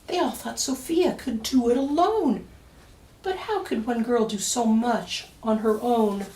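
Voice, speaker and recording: A woman reading a rhyming picture book aloud for children, expressively, with dramatic emphasis and deliberate pauses. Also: a little high, mellow. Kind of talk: expressive storytime read-aloud of rhyming verse. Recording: roomy echo, distant mic.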